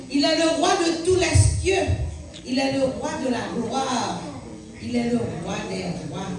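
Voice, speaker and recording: A woman reciting a religious praise poem in a solemn, emphatic, declamatory manner. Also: high-pitched. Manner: solemn, emphatic, declamatory, repeated rhetorical questions. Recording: very echoey room, distant mic.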